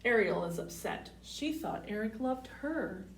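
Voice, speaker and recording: A woman reads a children's story aloud in a high, very animated voice, pausing for little asides to her young listeners. She takes her time over each short sentence. The sound is roomy, as if the microphone is some way off. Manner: simple short sentences, expressive, addresses children with questions. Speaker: library storytime host reading picture books. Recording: roomy, distant mic, faint background noise.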